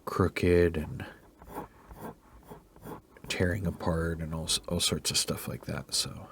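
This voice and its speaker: Male art tutor, deep raspy voice fighting a cold, soft relaxed commentary. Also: takes it slowly, noisy background. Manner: soft-spoken, thinking aloud while sketching, sometimes half-whispered. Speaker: drawing tutorial host.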